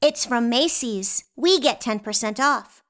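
Pert second-grade girl, played by a woman: high voice, matter-of-fact, precocious radio comedy delivery. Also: unhurried, fairly bright tone. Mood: polite, matter-of-fact. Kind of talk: comedic radio play acting.

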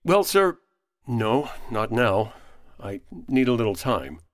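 An actor playing a confident, friendly defense attorney in a courtroom radio play, courteous and persuasive. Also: male voice, mid-range voice, sing-song, takes it slowly. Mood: hesitant.

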